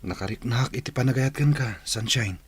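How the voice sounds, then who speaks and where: A man's voice: mid-range voice, normal speed, husky. A man playing an eager, lovestruck young village suitor with a Filipino accent, earnest and melodramatic, in a radio comedy-drama. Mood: earnest, romantic.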